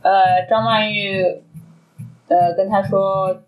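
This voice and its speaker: Woman podcast cohost, casual chatty retelling, normal female pitch, some music behind. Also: fairly even intonation, slow, dull audio. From Shanghai, China. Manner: conversational, retells scenes with quoted dialogue. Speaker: podcast co-host. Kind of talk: casual chat about a film. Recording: music playing behind the talk. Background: background music.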